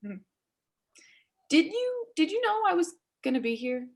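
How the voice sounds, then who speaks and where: A woman acting a blunt, nervously polite character in a naturalistic stage reading, with a halting, dry, tactless way of talking. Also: extremely high pitch, very animated, gravelly, a little mellow, exaggerated voice. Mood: startled, guarded. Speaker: amateur or local theatre actor.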